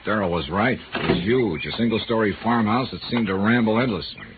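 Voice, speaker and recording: An actor playing a wry, worldly insurance investigator with clipped hardboiled delivery in a 1950s radio drama. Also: male voice, on the low side, fairly quick, background noise, lightly acted. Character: wry, worldly male freelance insurance investigator narrating his case. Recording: muffled, band-limited 1950s radio recording.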